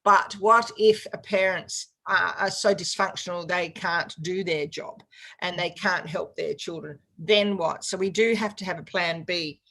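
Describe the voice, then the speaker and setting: A woman's voice: fairly low pitch, unhurried, a bit bright. A woman hosting an interview, an educator speaking in an encouraging, reflective way. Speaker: host. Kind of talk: interview host.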